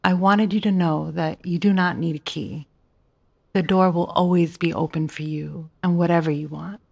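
A woman's voice: on the low side, steady tone, moderate pace, gravelly, a little mellow. A woman playing a seductive, sweet-talking lover in an audio drama. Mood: loving, coaxing.

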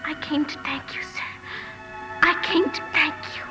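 A woman's voice: high voice, steady tone, normal speed, lightly acted. A woman acting a shy, sweet young girl in a 1950s radio drama, speaking in a hesitant, tremulous way. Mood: timid, moved. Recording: vintage radio recording with music.

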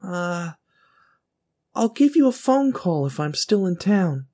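Man putting on a high-voiced, guarded young woman. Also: sing-song, slow, dark tone, heavily acted. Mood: guarded, reluctant. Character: guarded grown daughter, young woman Navy sailor.

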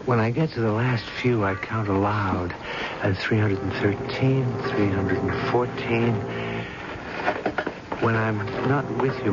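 Actor as earnest secret lover, noisy radio drama. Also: male voice, on the low side, lightly acted. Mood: tender. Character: ardent, protective secret lover urging a married woman. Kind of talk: old-fashioned radio drama acting. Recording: dull, noisy old radio broadcast recording.

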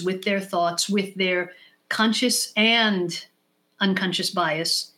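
A woman giving explanatory advice as an expert podcast guest, speaking deliberately and emphatically, often in lists. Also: medium pitch, fairly bright tone. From born in the United States, raised in Israel from age six.

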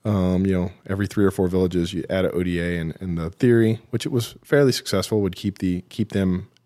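Very deep-voiced man telling his story. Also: fairly even intonation, warm tone. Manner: halting, self-deprecating, dry humour. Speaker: podcast guest, military veteran. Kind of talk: interview storytelling.

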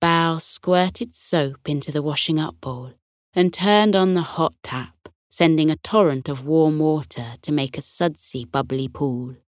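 A woman narrating a children's bedtime story in a gentle, expressive storytelling manner. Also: low voice, unhurried, no room sound, studio quality.

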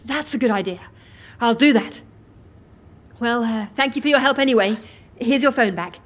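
Audio drama role for a female actor: courteous stranded woman, slightly high voice, natural and apologetic. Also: normal speed, lightly acted. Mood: polite. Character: polite, resourceful woman asking strangers for help.

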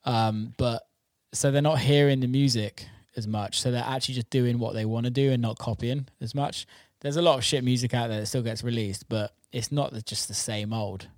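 A man's voice: mid-range voice, steady tone, moderate pace, few pauses, darkish tone, no echo, studio quality. A man hosting a casual interview, chatting informally with plenty of slang and swearing. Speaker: interviewer and host. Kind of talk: casual chat.

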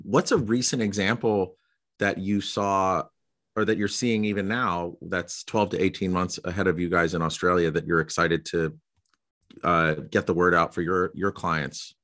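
A man hosting a podcast, asking questions with curious enthusiasm and a halting, filler-heavy way of talking. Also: deep voice, fairly even intonation, fairly bright tone. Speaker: podcast host. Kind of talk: casual conversational interview.

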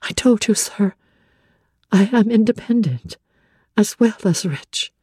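A woman's voice: medium pitch, expressive, takes it slowly, dark tone, slightly rough, no room sound, studio quality. A woman playing a devoted, independent young woman, earnest and composed, in a classic novel reading. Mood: devoted, firm.

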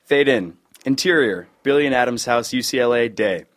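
A man's voice: medium pitch, moderate pace. A man narrating scripted scene directions at a sitcom table read.

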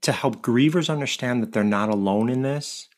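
A man who works as a grief coach, telling stories in a relaxed, chatty way in a podcast interview and laughing easily. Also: mid-range voice, moderate pace. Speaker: grief coach and co-author guest.

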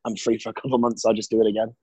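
A man's voice: on the low side, little pitch movement, racing, mellow, slightly rough, no room sound, low-quality recording. A British man in his twenties, a podcast guest chatting casually, laughing often and swearing freely. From Britain. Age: 20s. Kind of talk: casual interview chat.

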